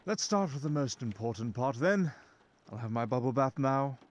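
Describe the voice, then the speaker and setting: A man voicing a vain, grumpy fox familiar in a fantasy audio roleplay, pompous and sharp-tongued. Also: medium pitch, animated, normal speed, lightly acted. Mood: haughty, grudging.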